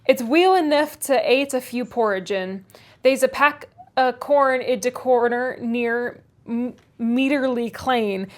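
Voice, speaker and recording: A woman's voice: on the high side, takes it slowly. A woman voicing a grumbling, sneering old male servant, drawling a thick rustic dialect in a storybook reading. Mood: mocking.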